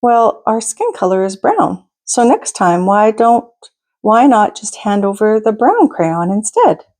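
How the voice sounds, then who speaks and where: A woman voicing a supportive mother in a storybook, gently advising her young daughter in a matter-of-fact way. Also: mid-range voice, very animated, measured pace. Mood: gentle advising.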